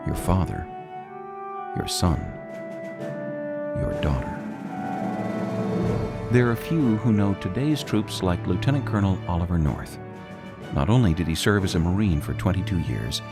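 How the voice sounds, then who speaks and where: Deep-voiced male narrator over loud music. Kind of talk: solemn scripted radio narration.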